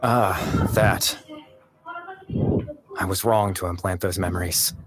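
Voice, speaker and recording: An actor playing a gentle, regretful young man who is only a remnant of consciousness, speaking wistfully and hesitantly in video game story dialogue. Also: sits low, fairly even intonation, slow pace, a crowd in the background. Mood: regretful, pleading. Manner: wistful, hesitant, confessional delivery.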